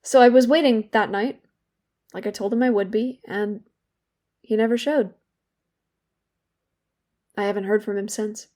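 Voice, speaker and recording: A young woman playing a guilt-ridden, wry and bitter therapy patient in an audio drama, speaking candidly and haltingly. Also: mid-range voice, fairly even intonation, dark tone, natural voice. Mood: wistful, halting. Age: young adult. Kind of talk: naturalistic audio drama dialogue.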